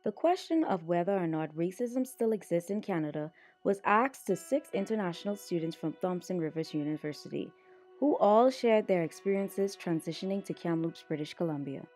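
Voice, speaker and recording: Mellow woman narrating a documentary. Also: fairly low pitch, expressive, normal speed, faint music behind. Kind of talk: scripted narration.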